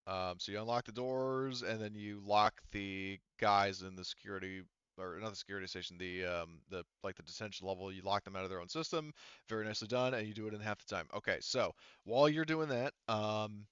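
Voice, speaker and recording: A man's voice: lowish voice, a little fast, fairly bright tone, clean tone, no echo, lo-fi sound. A man running a tabletop roleplaying game as game master, talking casually, thinking aloud and swearing freely.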